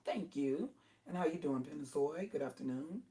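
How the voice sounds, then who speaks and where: A middle-aged woman with a low alto voice, hoarse and strained, hosting a casual livestream and talking in halting stops and restarts. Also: lively intonation, mellow, slight background noise. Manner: halting, with many restarts and apologies. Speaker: livestream host.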